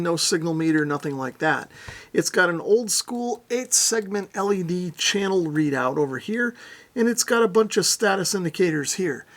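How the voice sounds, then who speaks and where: Higher-pitched man giving a product demo. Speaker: radio reviewer, presenter. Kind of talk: casual hands-on product demo narration.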